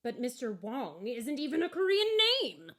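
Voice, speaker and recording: A woman's voice: fairly high pitch, big pitch swings, normal speed, pitched above the performer's own voice. A woman voicing an earnest, polite talking lump of coal with hopes and sorrows, for a children's storybook. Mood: earnest challenge.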